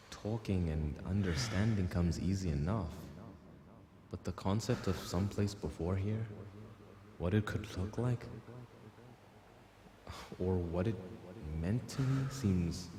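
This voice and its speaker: An actor playing a bewildered, anxious and weary lost soul with no memory, speaking haltingly in an audio drama. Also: male voice, low voice, long reverb tail, noisy background.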